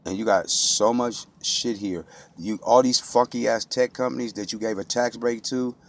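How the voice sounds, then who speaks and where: A man's voice: mid-range voice, steady tone, moderate pace. An American man speaking off the cuff in an impassioned monologue, blunt and streetwise, with slang and heavy swearing. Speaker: local resident speaking out.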